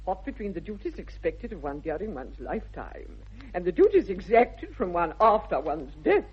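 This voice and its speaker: A woman's voice: lowish voice, very animated, moderate pace, husky, heavily acted. An actress playing an imperious, snobbish aristocratic English mother in a 1960s radio comedy, pompous and declamatory, with an upper-class accent. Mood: imperious, judging. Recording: dull old radio recording.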